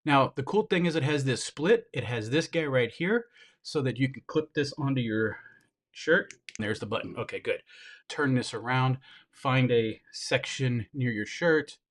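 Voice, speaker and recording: A man of ordinary pitch reviews gear on camera, talking directly to his viewers. He sounds upbeat and enthusiastic. He breaks off now and then with quick asides while he handles what he's showing. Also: expressive. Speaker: product reviewer. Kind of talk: hands-on product demo.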